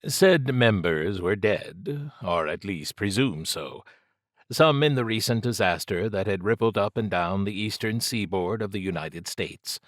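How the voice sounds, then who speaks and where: A man's voice: on the low side, lively intonation, unhurried, fairly bright tone, no room sound, studio quality. A man reading scripted dramatic fiction as an audiobook narrator.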